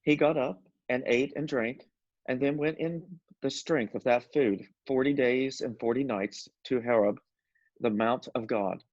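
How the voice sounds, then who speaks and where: A man of average pitch narrates scripture from a script. The recording is very dry and a little dull. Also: measured pace, a little mellow, no echo.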